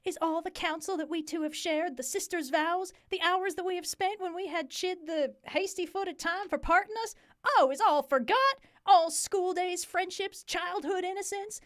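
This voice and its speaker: A woman acts out a young Southern belle in a stage comedy, high-voiced and melodramatic. She declaims with wronged indignation in a Southern US accent. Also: moderate pace, few pauses. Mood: wounded, reproachful.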